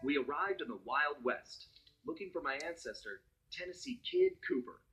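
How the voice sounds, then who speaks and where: An actor playing a suave raccoon master thief, narrating game cutscenes in a wry, smooth storytelling manner. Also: male voice, fairly high pitch, wildly swooping pitch, normal speed, pushed and bright, exaggerated voice, poor audio. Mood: determined. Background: background music.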